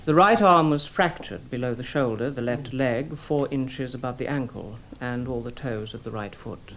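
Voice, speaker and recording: A man's voice: mid-range voice, moderate pace, fairly bright tone, natural voice. A man playing a formal witness at an inquest in a radio drama, giving evidence precisely and clinically. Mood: factual, formal. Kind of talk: British radio drama testimony.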